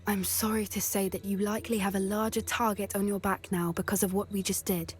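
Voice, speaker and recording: A woman with a British accent playing an earnest, cautious teenage witch girl in a video game cutscene, speaking in a measured, articulate way. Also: mid-range voice, little pitch movement, natural voice. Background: cinematic background music.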